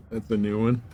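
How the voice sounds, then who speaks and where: Male streamer, laid-back and slow, talking over his own gameplay with some background noise. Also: on the low side. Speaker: live game streamer. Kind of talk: casual gameplay commentary.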